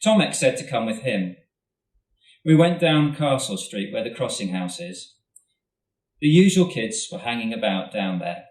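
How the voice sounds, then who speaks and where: A man, an author, reading his own short story aloud at a festival event in a steady, measured way, recorded in a hall from a distance. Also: mid-range voice. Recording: roomy hall, mic at a distance.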